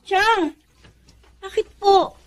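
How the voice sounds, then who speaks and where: A woman's voice: extremely high pitch, very slow, slight background noise, full character voice. A woman playing a timid, sweet young wife in a Filipino radio drama, speaking hesitantly and deferentially. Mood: startled, defensive.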